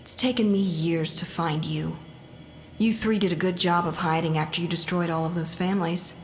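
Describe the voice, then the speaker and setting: An actress playing a vengeful, coldly controlled female patient in a psychological horror audio drama, mocking and deliberate, often dropping into breathy murmurs. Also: lowish voice, steady tone, noisy background, natural voice. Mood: bitter, accusing.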